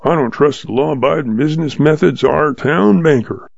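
A man voicing a wily old male cowboy with a calm, slow Western drawl. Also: a little high, vocal fry, no room sound, dull audio. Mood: calm, sly.

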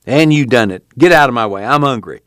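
A man's voice: medium pitch, expressive, fairly quick, a bit bright, no echo, clean studio sound, close to an everyday voice. A man voicing a cantankerous, boastful old grandfather in a storybook reading, with a rural American accent and a blustering, cussing manner. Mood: boisterous pride. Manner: blustering, cussing, folksy talk.

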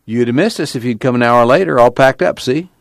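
A man's voice: mid-range voice, a little fast, gravelly, no echo, studio quality, voice pushed up, close to an everyday voice. A man voicing an anxious farm father in an audiobook, urgent and exasperated, speaking in folksy rural dialect. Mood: brisk.

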